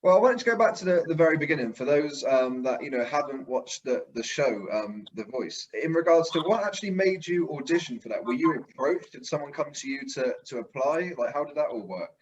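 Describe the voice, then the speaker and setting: A man's voice: fairly high pitch, lively intonation, a little fast, few pauses, muffled recording. A man hosting a casual interview, asking long, layered questions. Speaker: interviewer, show host.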